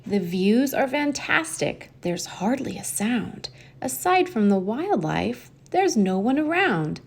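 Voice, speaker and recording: A woman's voice: a little high, sing-song, takes it slowly, creaky, heavily acted. A woman voicing a cheerful, reassuring man who loves camping, in a children's storybook, with an enthusiastic, coaxing manner.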